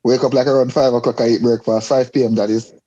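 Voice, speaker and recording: Mellow Jamaican man, slightly muffled. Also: mid-range voice, flat delivery, fast talker. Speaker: guest host. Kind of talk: casual podcast chat.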